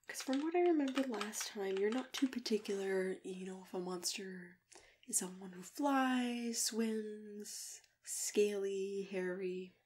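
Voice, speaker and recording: A woman playing a kindly, patient matchmaker in an ASMR roleplay, speaking softly and often whispering in a gentle, hesitant way. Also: mid-range voice, natural voice. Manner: gentle, hesitant, murmured acknowledgements. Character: kindly, patient female monster matchmaker interviewing a client. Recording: clear background noise, keyboard typing and clicks. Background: computer keyboard typing.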